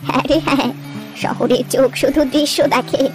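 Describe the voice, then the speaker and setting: A woman playing a wistful, gentle old village grandmother who tells river lore with poetic, reflective pauses in a cartoon story. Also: sits high, fairly even intonation, soft background music, close to an everyday voice. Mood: tender, reflective. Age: older.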